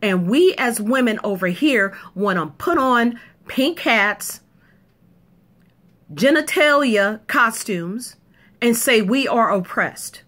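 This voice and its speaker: A woman's voice: fairly high pitch, lively intonation, slow, fairly bright tone. A woman delivering an emphatic, punchy opinion monologue to camera, repeating points for effect. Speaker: video commentator.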